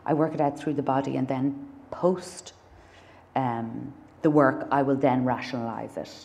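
A woman's voice: extremely low pitch, steady tone, unhurried, vocal fry, a little mellow. An Irish woman in her thirties, an artist talking about her work in a public conversation, thoughtful with many fillers and restarts. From Ireland. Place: dark stage in a gallery lecture hall.

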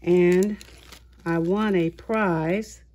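A woman hosting a haul video of her craft purchases, talking in an unhurried way with many small pauses and fillers. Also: on the low side, steady tone, dark tone. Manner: slow, unhurried, halting with fillers. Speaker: craft channel host. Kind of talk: show-and-tell haul video. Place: indoors at a tabletop, voice-over of hands.

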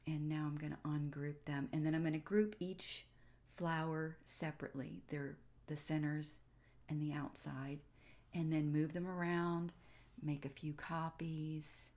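A woman with a notably low voice narrates a how-to tutorial in a casual, step-by-step way. She speaks very slowly, breaking her instructions into short phrases with pauses between them. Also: flat delivery, darkish tone. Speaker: tutorial host.

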